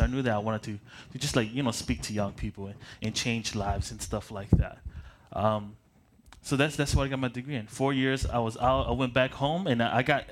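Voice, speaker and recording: A young man in his late twenties, a preacher from Michigan, talks in a loose, self-deprecating way, restarting phrases and letting out small chuckles. His pitch sits in an ordinary middle range for a man. A faint hiss of noise runs under the recording. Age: late 20s. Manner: paces around while preaching. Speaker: pastor. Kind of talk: prayer and sermon introduction.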